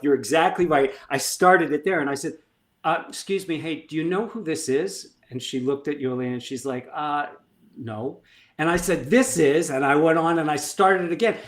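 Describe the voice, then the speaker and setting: A middle-aged man, a coach, is a guest on a podcast and tells stories with lively emphasis, acting out what people said. His voice sits a little high for a man. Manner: animated, emphatic storyteller who acts out dialogue. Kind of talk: conversational storytelling.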